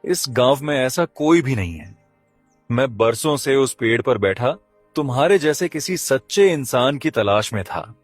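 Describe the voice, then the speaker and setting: A man's voice: medium pitch, dry recording, lightly acted. A man voicing a mysterious, solemn cursed kite bird in a cartoon story, speaking in an earnest, portentous way.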